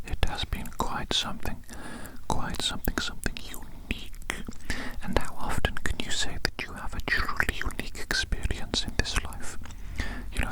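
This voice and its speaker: An ASMR roleplay host speaking in a breathy, unvoiced whisper very close to the microphone, lingering over words and repeating phrases soothingly. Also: extremely high pitch, low-quality recording.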